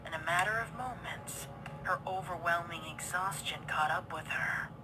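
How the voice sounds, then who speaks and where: A woman reading a horror story as narrator in a dramatic, suspenseful, breathy way. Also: fairly low pitch, normal speed, poor audio. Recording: loud music under the voice.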